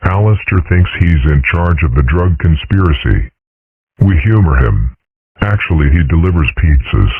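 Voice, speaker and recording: A man voicing a calm, dry-witted dragon father in a fan comic dub, speaking in a deadpan, matter-of-fact way. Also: rumbling low, moderate pace, breathy edge, dry recording, heavily acted. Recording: dry, phone-like lo-fi sound.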